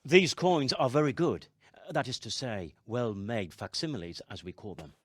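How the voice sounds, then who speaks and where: A hesitant, fussy coin expert. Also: male voice, mid-range voice, sing-song, moderate pace. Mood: nervous. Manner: careful, hesitant, formal. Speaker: coin master. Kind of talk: video game cutscene acting.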